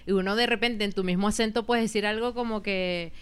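A woman with a smooth, clear voice at a middle pitch. She chats in a relaxed, easygoing way. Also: a little fast. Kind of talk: casual chat.

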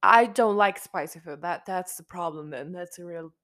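A young woman with a slightly raspy, hoarse voice hosting her podcast in casual chat, repeating herself and restarting her sentences. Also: lowish voice, normal speed, a bit bright. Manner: chatty, repeats herself, frequent restarts and fillers. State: hoarse after a concert. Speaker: podcast host. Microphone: studio microphone.

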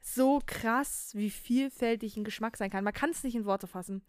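A young woman chatting casually as a podcast co-host, effusive, expressive and slangy. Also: fairly high pitch, normal speed, clean tone, no echo, studio quality. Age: young adult. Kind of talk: casual chat.

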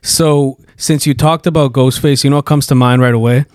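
Male podcast host, mid-pitched, rambling and profane, close dry mic. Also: fairly even intonation, brisk, a little mellow, no echo. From Canada. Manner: rambling, profane, many fillers. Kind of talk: casual chat.

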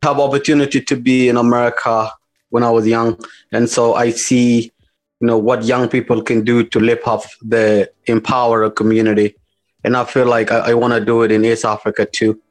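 A man with a South Sudanese accent answering interview questions as a company founder, in informal, halting, repetitive phrasing. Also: medium pitch, flat delivery. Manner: informal, repetitive, halting phrasing with non-standard English grammar.

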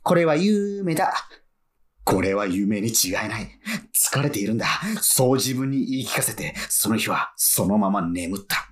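An actor playing an excitable male fan who lives alone, narrating and muttering to himself in a flustered way in a radio drama. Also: on the low side, normal speed, slight background noise, natural voice. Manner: animated, self-muttering, easily flustered. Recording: faint music behind.

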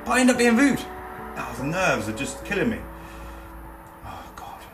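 A man's voice: sits high, big pitch swings, normal speed, background noise. A British man casually hosting his own podcast, rambling, joking at himself and swearing freely. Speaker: podcast host. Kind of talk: casual solo podcast chat.

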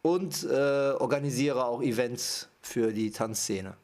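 A man's voice: mid-range voice, takes it slowly, a little mellow. Hesitant male dancer guest. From Japan. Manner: hesitant, many fillers, lists names. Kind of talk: casual interview talk.

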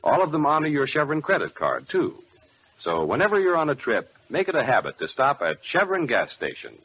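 A man announcing in 1940s radio style, with a polished, folksy delivery for narration and sponsor pitches. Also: huge pitch swings, a little fast. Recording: muffled, noisy old radio recording.

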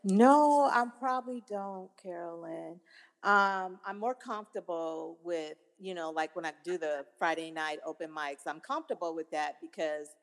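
A woman's voice: on the low side, measured pace. Hesitant woman in her fifties, guest, echoing recording. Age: over 50. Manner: hesitant, soft-spoken, many fillers. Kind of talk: casual chat.

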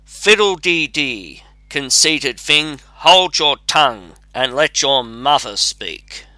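A man's voice: mid-range voice, lively intonation, takes it slowly, bright, forceful tone, dry recording, exaggerated voice. A man voicing an annoyed young peasant girl who scolds sharply in a folk tale. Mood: cross. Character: cross young peasant girl seeking husband.

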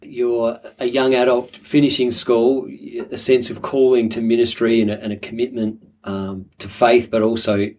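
A man's voice: mid-range voice, level tone, moderate pace, hardly any pauses, a little mellow. Guy interviewing, a bit far from the mic, stops and restarts his questions.

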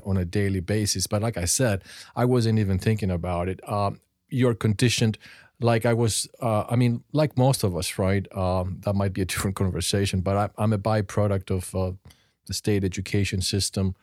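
Low-voiced man. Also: a little mellow, no room sound, clean studio sound. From Greece. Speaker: podcast co-host. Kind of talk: reflective personal discussion.

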